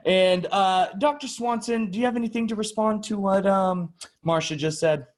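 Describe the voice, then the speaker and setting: A man's voice: sits high, normal speed, close to an everyday voice. A man playing an earnest, hesitant, polite moderator of an improvised mock panel debate.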